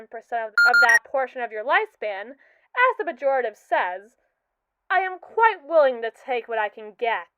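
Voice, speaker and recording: A cold, precise android with a secret obsession, voiced by a woman in an ASMR roleplay. The voice is smooth and somewhat high, with formal, condescending phrasing. The recording is dry and tinny, as if heard over a phone line. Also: no echo, close to an everyday voice.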